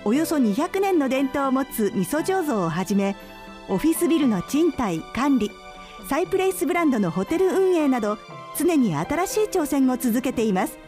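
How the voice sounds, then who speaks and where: A woman announcer with a high, lively voice reads a scripted radio sponsor credit. Her phrasing is polite and orderly, with faint music behind. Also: normal speed.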